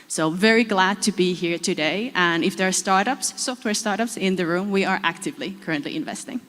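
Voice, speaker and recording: A woman's voice: lowish voice, a little fast, a bit bright. A woman with a Finnish accent introducing herself as an investment panelist to a conference audience. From Finland. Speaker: panelist, venture capital investment manager. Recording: echoey hall PA microphone.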